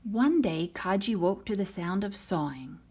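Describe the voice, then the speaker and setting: A woman reading a children's storybook aloud in an expressive, dramatic manner. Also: mid-range voice, a little slow, breathy edge. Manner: dramatic, voices the characters' lines. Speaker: storybook reader.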